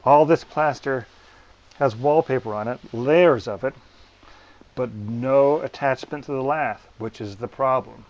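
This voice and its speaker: A man presenting a repair walkthrough inside a house, explaining things in a plain, practical way with deliberate pauses. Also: mid-range voice, darkish tone. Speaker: plaster repair business owner and presenter. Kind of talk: hands-on walkthrough explanation to camera. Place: room inside an old house.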